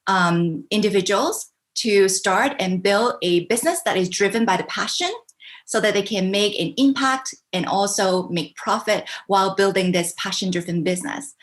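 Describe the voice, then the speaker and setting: A woman's voice: mid-range voice, a bit bright. Enthusiastic woman guest on a video call. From Hong Kong, raised in Canada. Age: middle-aged. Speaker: podcast guest, business coach. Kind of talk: casual interview chat.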